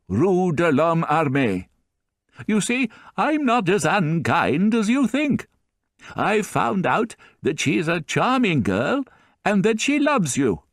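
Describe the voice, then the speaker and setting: A man voicing an elderly grandfather who speaks slowly, fondly and with emphasis. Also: on the high side, no echo, clean studio sound, pitched above the performer's own voice. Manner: slow, fond and emphatic. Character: doting elderly grandfather, tearful and warm.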